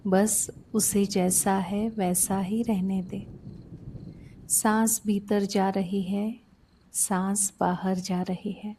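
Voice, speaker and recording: Woman, meditation guide, extremely slow pace, dark mellow tone, medium pitch, gentle, soothing, short phrases with pauses. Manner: soothing, unhurried, pausing between short phrases. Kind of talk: guided sleep meditation narration.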